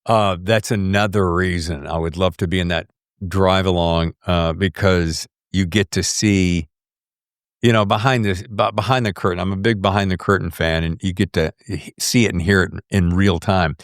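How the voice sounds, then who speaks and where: Low-voiced male podcast host. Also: fairly even intonation, normal speed, clear, resonant tone, no echo, studio quality. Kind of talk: casual conversational interview.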